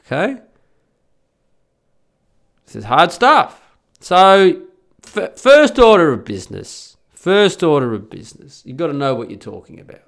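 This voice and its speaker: A man's voice: a little high, sing-song, a little slow, gravelly, pauses often, fairly bright tone. Chatty, blunt Australian man. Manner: blunt, chatty, swears, restarts often. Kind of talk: casual monologue.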